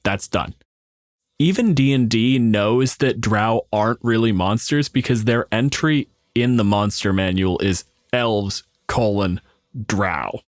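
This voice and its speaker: Low-voiced man, blunt and sweary. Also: takes it slowly, dry recording, clean studio sound. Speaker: co-host. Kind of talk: casual opinionated panel chat.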